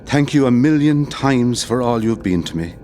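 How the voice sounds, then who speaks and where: An actor with an Irish accent playing a grave, tender condemned rebel commandant in a solemn audio drama. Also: male voice, medium pitch, lively intonation, moderate pace, warm tone, faint music behind, close to an everyday voice. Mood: tender, sorrowful.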